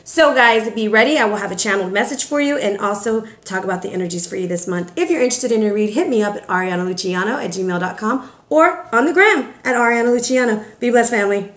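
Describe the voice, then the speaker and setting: A woman's voice: mid-range voice, lively intonation, fast talker, few pauses. Sassy woman with a roomy echo. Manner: sassy, chatty, playful. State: hoarse, recovering from bronchitis. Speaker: tarot reader. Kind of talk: casual tarot forecast. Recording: echoey room.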